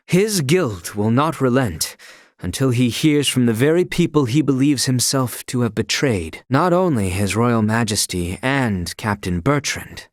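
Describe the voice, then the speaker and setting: A man's voice: medium pitch, dry recording, studio quality, close to an everyday voice. An actor playing a proud, loyal young male knight in a video game, speaking earnestly in formal, archaic courtly phrasing. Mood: formal, reserved.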